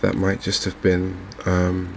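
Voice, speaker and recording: A man with an English accent giving softly spoken, unhurried ASMR game commentary, musing gently and hesitantly as he goes. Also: low-pitched, monotone, gravelly. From England. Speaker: gaming video host. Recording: faint background noise from open windows and fans.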